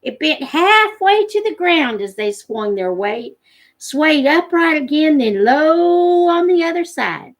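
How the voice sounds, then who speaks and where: Woman, slightly high voice, storybook narration, read-along host, live read-aloud. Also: big pitch swings, slow pace.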